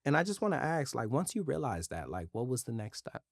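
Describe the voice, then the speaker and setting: A man's voice: mid-range voice, big pitch swings, a little fast, vocal fry, dry recording, studio quality. Earnest man hosting a podcast. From United States. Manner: earnest, emphatic, repeats words. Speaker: podcast host. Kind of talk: casual interview chat.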